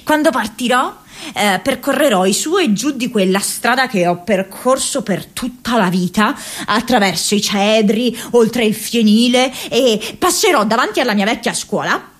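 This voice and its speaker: An actress playing a restless, ironic young woman activist in a radio drama, reading her diary in an expressive, hesitant, conversational way. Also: a little high, moderate pace. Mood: defiant, wistful. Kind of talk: theatrical radio monologue, intimate diary reading.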